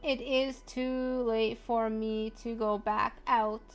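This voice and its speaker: A woman with a high voice chats casually as she streams a video game. She reacts informally to what she plays, with music faintly behind her. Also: little pitch movement, slow. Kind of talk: casual let's play reactions.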